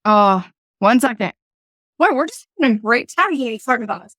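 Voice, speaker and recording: Woman, podcast host, losing her voice, laid-back and chatty, higher voice, dry close sound. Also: lively intonation, fairly quick, a bit bright, dry recording. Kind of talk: casual chat. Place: home room with blinds closed. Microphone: own desk microphone.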